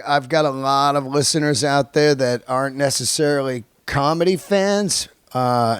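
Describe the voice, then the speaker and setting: A man's voice: on the high side, clear, resonant tone, no room sound, studio quality. A man in his early fifties hosting a podcast, chatting casually to his listeners in a laid-back, slangy, sweary way. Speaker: podcast host and stand-up comedian.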